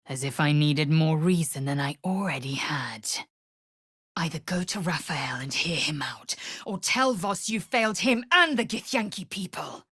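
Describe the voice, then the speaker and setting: A woman voicing a fierce, proud young githyanki warrior in a video game, blunt and disdainful, with clipped, formal, archaic phrasing and a husky, breathy voice. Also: low voice, lively intonation, a little slow, a bit bright, no echo, studio quality, full character voice. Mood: curt, disdainful. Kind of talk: video game character voice lines.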